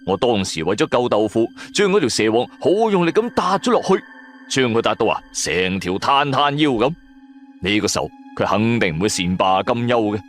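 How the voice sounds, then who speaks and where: A man's voice: lowish voice, lively intonation, moderate pace, fairly bright tone. A man with a Cantonese accent narrates a supernatural story in the first person, in a dramatic and colloquial way. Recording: music bed under the voice. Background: background music.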